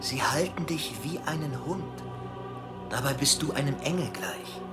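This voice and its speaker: An actor playing a manipulative, sinister tempter in an audio drama, insistently urging on a chosen one. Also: male voice, on the high side, a little slow, loud music behind the voice, close to an everyday voice. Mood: insinuating, urging. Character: sinister male tempter inciting a chosen saviour.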